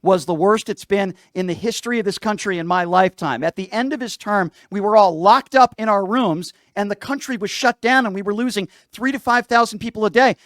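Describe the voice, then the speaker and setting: A man's voice: high voice, a little fast, hardly any pauses, a bit bright, no echo, clean studio sound. A man hosting a live call-in show, debating politics in an argumentative, insistent way and repeating phrases for emphasis.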